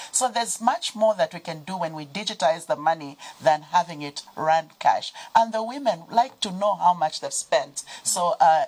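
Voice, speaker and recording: Zambian lady, lowish voice, expert guest on a tv talk show explaining stuff with everyday examples. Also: a little mellow, rough recording. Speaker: financial sector guest expert. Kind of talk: panel interview, explanatory talk. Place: TV studio.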